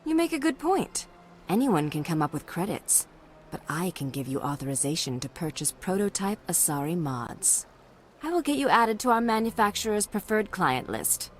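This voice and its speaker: An actress playing a guarded, calculating alien diplomat in a video game, speaking formally and with composure. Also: female voice, medium pitch, big pitch swings, moderate pace, a little hiss or hum, lightly acted. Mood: smooth, businesslike. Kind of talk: dramatic video game voice acting.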